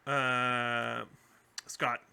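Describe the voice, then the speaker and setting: Male podcast co-host, strikingly bright, forceful tone, playful and casual, repeats himself. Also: medium pitch, level tone, very slow, gravelly, smooth. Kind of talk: casual fan chat.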